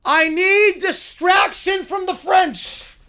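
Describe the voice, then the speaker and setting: A performer voicing a bratty, bossy cartoon child who makes indignant, melodramatic shouted declarations. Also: extremely high pitch, slow, pitched above the performer's own voice, heavily acted. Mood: bossy, loud.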